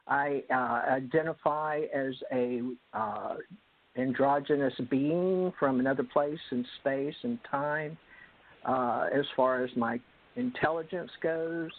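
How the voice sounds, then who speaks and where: Hesitant woman host who pauses often, heard over phone-quality audio. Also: deep voice. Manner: slow, hesitant, many pauses. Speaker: show host. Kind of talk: self-introduction on a call-in panel. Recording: tinny phone line.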